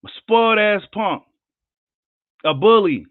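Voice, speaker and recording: A hoarse man who says he is losing his voice, ranting heatedly and profanely on a live boxing vlog. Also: sits high, animated, slow pace, no echo. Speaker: boxing commentator. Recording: tinny phone-like line, dry.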